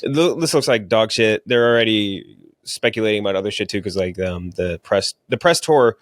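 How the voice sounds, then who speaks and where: A man's voice: lowish voice, expressive, normal speed, dry recording, studio quality. A man hosting a casual movie podcast, talking in a blunt, slangy, profane way. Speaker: podcast host reviewing movies.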